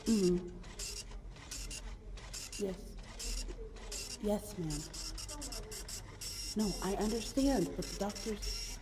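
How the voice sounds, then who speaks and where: A woman playing a devoted, worried partner of a sick loved one, speaking tenderly and haltingly to the listener in an intimate ASMR roleplay. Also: medium pitch, background noise. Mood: subdued, deferential. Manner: tender, soothing, halting and reassuring.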